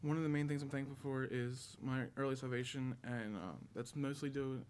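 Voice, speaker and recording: A young man with a mid-pitched voice gives a testimony at a church lectern. He is hesitant and often searches for words. The recording has faint noise. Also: fairly even intonation, brisk, a little mellow. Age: young adult. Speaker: church member giving a testimony. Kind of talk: halting public testimony. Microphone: stand microphone. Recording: noisy church hall recording.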